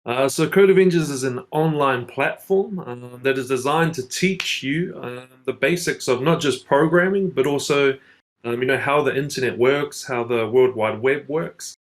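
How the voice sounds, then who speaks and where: A man's voice: mid-range voice, moderate pace. A man working in localization, explaining things hesitantly with many fillers on a video call panel. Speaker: localization specialist. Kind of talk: casual panel chat.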